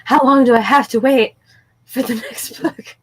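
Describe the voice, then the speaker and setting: A woman hosting a casual group book chat, speaking in an animated, emphatic way with exasperated storytelling. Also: fairly high pitch, a little slow, low-quality recording. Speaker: book discussion host. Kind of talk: casual group chat about books and shows.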